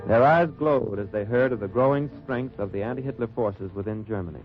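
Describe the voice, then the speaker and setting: Undercover agent, wartime radio drama male actor: low, measured, wry narration, muffled vintage sound. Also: lightly acted. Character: cool, watchful undercover agent narrating his wartime mission. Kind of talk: 1940s-50s radio drama acting, first-person narration. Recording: muffled old-time radio recording with music.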